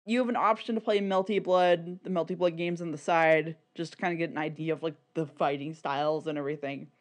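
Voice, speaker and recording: A podcast co-host in loose, casual conversation. The delivery rambles and leans hard on words for emphasis, with swearing dropped in freely and opinions stated bluntly. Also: medium pitch. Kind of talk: casual, opinionated podcast chat about niche fan media.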